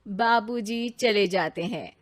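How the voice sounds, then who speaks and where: A woman's voice: medium pitch, slow. A woman storyteller narrating a scripted cartoon story.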